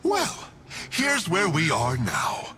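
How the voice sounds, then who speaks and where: A man's voice: fairly high pitch, huge pitch swings, slow pace, background noise, heavily acted. An actor voicing a smug, scheming male villain in a video game, breezy, mocking and theatrical as he toys with his listeners. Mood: taunting. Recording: music behind the voice.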